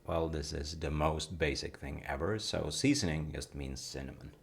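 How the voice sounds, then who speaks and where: Very deep, gravelly man as a cozy dad. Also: normal speed. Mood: cozy, gently playful. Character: gentle, playful dad baking with his child. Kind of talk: cozy audio roleplay, ASMR-like. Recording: faint noise, kitchen sounds.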